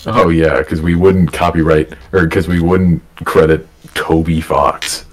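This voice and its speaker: A man co-hosting a casual podcast, dropping short remarks in a deadpan, joking way. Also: rumbling low, measured pace. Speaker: podcast co-host.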